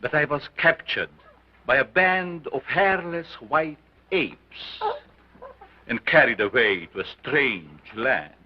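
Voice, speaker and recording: An actor playing a grandiose sideshow scientist who declaims in a pompous, theatrical way, on a dull old film soundtrack. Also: male voice, mid-range voice, lively intonation, takes it slowly, noisy background. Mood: solemn. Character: grandiose male carnival scientist, commanding and theatrical.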